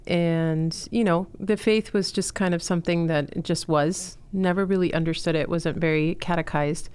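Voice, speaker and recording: Smooth-voiced woman sharing a heartfelt testimony. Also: on the low side, steady tone, normal speed, darkish tone. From Chile, raised in Miami. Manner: earnest, heartfelt storytelling with fillers. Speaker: guest, school co-founder. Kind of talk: personal testimony in an interview.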